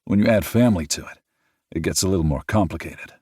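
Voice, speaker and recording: A man voicing a steady, thoughtful adult man explaining his family in an earnest, measured way. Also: low voice, husky, no echo, studio quality, voice pushed up.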